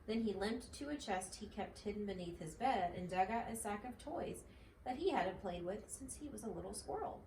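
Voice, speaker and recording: A woman reads a children's storybook aloud in a medium-pitched voice. The recording is echoey and slightly distant, with faint noise behind her. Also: expressive, moderate pace, a little mellow. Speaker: mother reading a storybook.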